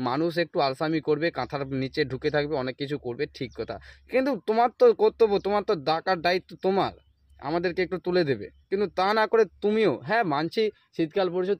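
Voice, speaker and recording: A man rants in a high-pitched voice at a quick clip. He scolds and complains like an exasperated comedian, peppering the monologue with rhetorical questions. The sound is dry and close. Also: no room sound, highs cut off. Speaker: comedy video creator. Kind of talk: comic ranting monologue addressed to the sun and seasons.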